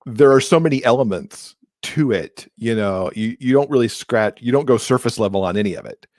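A man's voice: mid-range voice, lively intonation, a little fast, darkish tone, no room sound. A middle-aged man speaking as an interviewer in a casual, conversational style, close to his microphone. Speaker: podcast co-host, interviewer. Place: indoors, video call from a room. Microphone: large microphone close in front of him.

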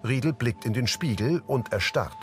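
A man's voice: mid-range voice, lively intonation, moderate pace, faint music behind. A man reading scripted audio description, narrating scenes in short factual sentences.

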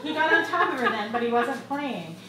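A middle-aged woman reading her own short fiction aloud at a live event, in a measured, expressive storytelling delivery. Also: fairly high pitch. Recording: echoey bar room with loud background noise.

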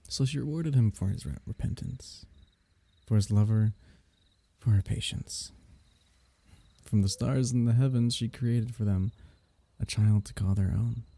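A man voicing a gentle, loving royal diviner, telling a bedtime myth as ASMR in a tender, archaic, unhurried, husky way. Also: fairly low pitch, heavily acted. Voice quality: husky, gravelly. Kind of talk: ASMR bedtime story roleplay.

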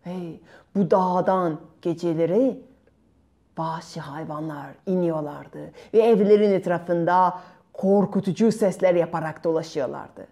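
A woman in her thirties tells a children's story at a slow pace. Her voice is soft-edged and mellow, and her delivery is theatrical, stretching words and adding sound effects. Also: mid-range voice. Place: bright room at home.

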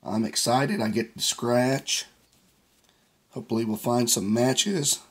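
A man hosting his own scratch-off ticket channel, hoarse and raspy after losing his voice, talking in a slow, plain way and reading numbers out one by one. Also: medium pitch. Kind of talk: casual vlog narration.

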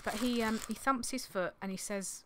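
A woman in her thirties, slightly hoarse from losing her voice, chatting in a rambling, apologetic way on a vlog, recorded in a small indoor craft room on a desktop mic. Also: a little high, normal speed, noisy background. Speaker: clothing reseller vlogger. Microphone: desktop condenser mic on an arm.

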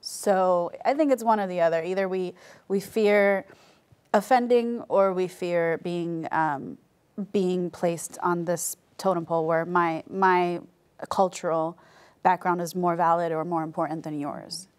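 A woman's voice: on the low side, steady tone, measured pace, smooth. A woman in her thirties speaking reflectively as a panelist in a studio discussion, on a lapel mic. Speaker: panelist on a religious study show.

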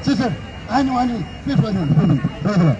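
A man's voice: sits high, big pitch swings, fairly quick, lo-fi sound. A middle-aged man preaching an emphatic open-air street sermon into a handheld microphone outdoors in a city plaza, repeating his declarations. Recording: crowd and city noise outdoors.

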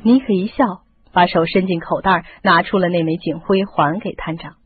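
A woman's voice: lowish voice, normal speed, slight background noise. A woman reading scripted narration as the narrator of a radio mystery drama. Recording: muffled lo-fi radio drama, music behind.

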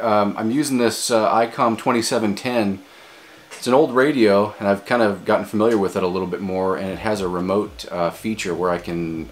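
An American man in his forties with a low voice. He talks casually, as in a hands-on hobby demonstration, recorded in a small home office. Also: slight background noise. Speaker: amateur radio vlogger. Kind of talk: casual demonstration and radio chat.

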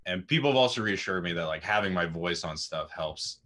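A young man in his twenties with a raspy voice, chatting casually as a guest musician on a video call, rambling with lots of fillers. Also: deep voice, animated, brisk, sharp tone. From Austin, Texas. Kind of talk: casual interview chat. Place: home room with music gear. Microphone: video call webcam.

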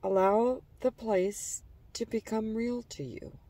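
Slow, mellow woman guiding relaxation. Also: a little high, dry recording, recorded from a distance. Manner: calm, gentle, soothing, with trailing phrase endings. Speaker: guided meditation narrator. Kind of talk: slow guided imagery relaxation narration.

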